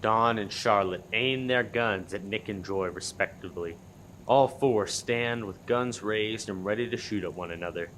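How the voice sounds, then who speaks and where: A lowish-pitched male narrator played by an actor delivers scripted radio play action deadpan. Faint noise sits behind the voice. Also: normal speed, natural voice. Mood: deadpan narrating.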